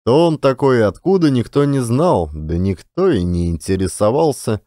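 A man narrating classic literary fiction as an expressive audiobook storyteller. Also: mid-range voice, normal speed, no room sound, studio quality.

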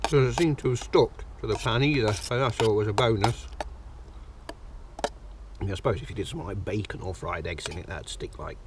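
A man giving dry, deadpan, casual commentary in short phrases as he cooks outdoors. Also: fairly low pitch, a little fast. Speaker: vlogger. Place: small brick-walled garden corner, outdoors. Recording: distant mic, noisy outdoor ambience.

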